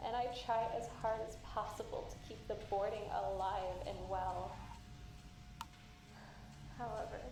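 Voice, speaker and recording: A woman voicing a sick, tender matron who speaks slowly and solemnly in croaky, breathy tones through a static-laced speaker. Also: mid-range voice, reverberant. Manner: slow, solemn, doting. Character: sick, tender female matron, motherly and weary.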